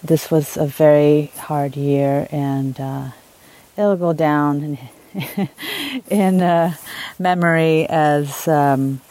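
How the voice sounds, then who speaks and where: A woman thru-hiker recording a reflective video diary outdoors, talking in a halting way with many fillers and restarts. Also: deep voice, warm tone. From Los Angeles, USA. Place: outdoors on a long-distance trail.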